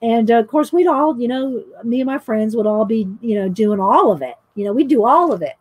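A woman's voice: a little high, expressive, a little fast, no room sound. Chatty woman storytelling while painting. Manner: chatty, laughs often, talks loud. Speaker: painting vlogger. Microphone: overhead camera mic, speaker off screen.

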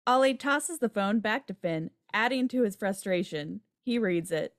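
A woman's voice: medium pitch, lively intonation, normal speed, dry recording, clean studio sound. A woman reading stage directions as scripted narration for a table read on a video call. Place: home room on a video call.

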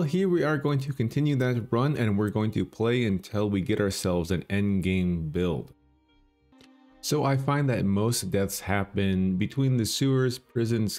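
A man casually commenting on his own game run, chatty and self-deprecating, thinking aloud as he plays, with a bassy morning voice. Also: darkish tone. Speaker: gaming channel host.

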